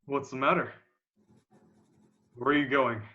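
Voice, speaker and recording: A bitter artilleryman hungry for conquest, acted by a young man in a radio play. He speaks slowly in a forceful, slightly high male voice, over muffled lo-fi call audio. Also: fairly even intonation. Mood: puzzled. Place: room at home, video call. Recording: dull lo-fi video-call audio.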